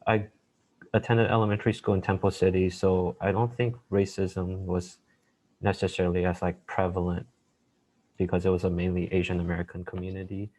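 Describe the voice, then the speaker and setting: A man from Malaysia talking over a headset on a video call. His voice is deep and flat, and he speaks softly, with plenty of fillers and sentences that trail off. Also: fairly quick, low-quality recording. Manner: soft, hesitant, many fillers, trailing off quietly. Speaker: panelist. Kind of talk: casual discussion on a video call. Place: home room.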